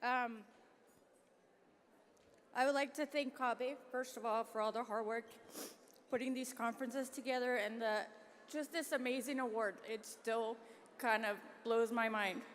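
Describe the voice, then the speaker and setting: A woman's voice: a little high, steady tone, a little slow, pauses often, slight background noise. A woman giving a casual, self-deprecating acceptance speech for an award. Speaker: award recipient, school community liaison. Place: large banquet hall. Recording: hall reverb from a PA system.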